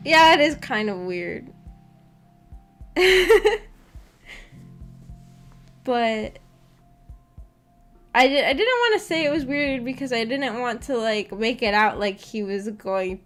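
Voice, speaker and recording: A woman's voice: fairly high pitch, animated, slow, pauses often, a bit bright. A woman livestreamer chatting casually with her viewers in a hesitant, rambling, giggly manner. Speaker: streamer and host of a visual novel book club. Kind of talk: casual livestream chat, reacting to viewer comments. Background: background music.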